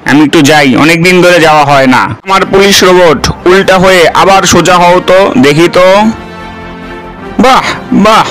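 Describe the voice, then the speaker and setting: A man's voice: high-pitched, normal speed, fairly bright tone, soft background music. A man with a Bangladeshi accent voicing a hot-headed male fox in a cartoon, with an emphatic, scolding delivery. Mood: brisk, bossy.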